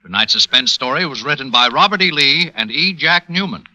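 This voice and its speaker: A man's voice: medium pitch, expressive, brisk, pushed and bright, low-quality recording. A man reading closing announcements in a formal, projected 1940s radio style. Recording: vintage 1940s radio broadcast.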